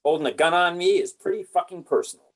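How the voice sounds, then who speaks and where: A man's voice: high-pitched, fast, fairly bright tone. A man playing a principled, blunt military colonel, profane and indignant, in a script table read. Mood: bitter resolve.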